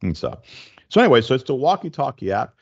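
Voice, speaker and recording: A man in his forties hosting a casual tech explainer, speaking in a halting, stop-and-start way into a close desk microphone. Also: medium pitch, big pitch swings, breathy edge, muffled recording. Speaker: tech show host. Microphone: desk condenser microphone, close, with headset.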